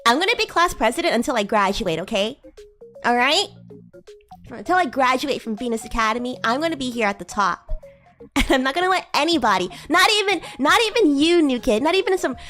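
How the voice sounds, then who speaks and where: A woman streamer plays a young female demon class president with a high, animated voice. She is bratty and smug, teasing and talking down in improvised roleplay, with music playing behind her. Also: exaggerated voice. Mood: indignant, smug. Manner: teasing, condescending, giggly, halting improvisation. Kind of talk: improvised in-character livestream roleplay.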